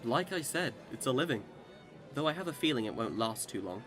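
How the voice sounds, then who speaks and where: A man's voice: mid-range voice, very animated, fairly quick, over music, close to an everyday voice. A man acting in a radio drama as a boastful immortal Englishman who swings between cheer and despair, with theatrical old-time speech. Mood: wry, self-assured.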